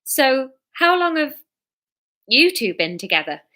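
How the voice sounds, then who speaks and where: Curt young male story character, voiced by a female reader: even, questioning manner, higher register. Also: unhurried, exaggerated voice. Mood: probing, cool. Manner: even, direct.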